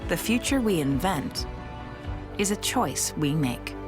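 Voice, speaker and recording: A woman voices a corporate promo in a polished, upbeat announcer style. She reads it as a clean studio voice-over. A clear music bed plays under her voice. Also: fairly low pitch, big pitch swings, slow pace. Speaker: narrator of a corporate promo video.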